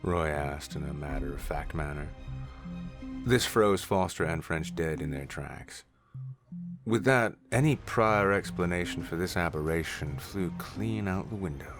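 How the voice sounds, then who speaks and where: Deep-voiced male story narrator. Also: a little slow, over music.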